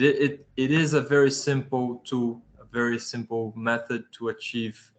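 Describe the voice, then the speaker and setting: Male presenter, unhurried, monotone, lots of hesitations. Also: on the low side. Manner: halting, many fillers and restarts. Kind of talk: webinar presentation.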